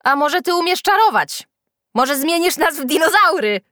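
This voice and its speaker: Mocking young schoolboy, voiced by a woman; storybook bully, whiny, high-pitched. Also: lively intonation, moderate pace, fairly bright tone, no room sound, clean studio sound. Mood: taunting.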